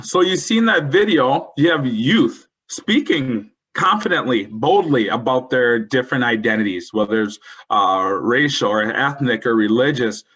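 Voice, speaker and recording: Guy running a teacher training webinar, bright voice kinda husky, earnest coaching tone. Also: medium pitch, animated, unhurried, hardly any pauses. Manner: earnest, coaching, quotes example phrases. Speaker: webinar presenter. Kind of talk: presenting a professional development webinar.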